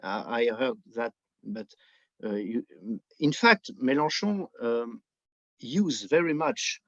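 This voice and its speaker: Slow-talking Frenchman in a roomy, muffled recording. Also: medium pitch, expressive. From France. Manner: halting, searching for words in non-native English. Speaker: podcast guest, book editor. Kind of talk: conversational political commentary. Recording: dull, roomy, lo-fi remote connection.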